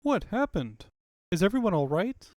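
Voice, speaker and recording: Man doing an earnest young heroine, lively pitch. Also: normal speed, no room sound, clean studio sound. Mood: worried. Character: earnest, resolute young female freedom fighter leader. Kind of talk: comic book fandub acting.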